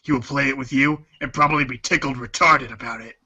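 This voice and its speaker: Guy doing cocky teen boy, really sharp bright husky voice, deadpan sarcasm, storybook dub. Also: mid-range voice, fast talker, lo-fi sound. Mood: sardonic, cocky. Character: cocky, sarcastic foul-mouthed teenage boy. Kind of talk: read-along storybook fan dub.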